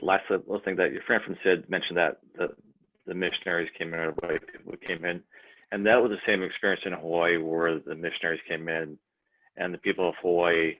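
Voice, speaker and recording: A man, a church member, talking thoughtfully in a rambling way with restarts, as a guest on a video call. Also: low voice. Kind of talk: casual chat. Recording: tinny phone-like call audio.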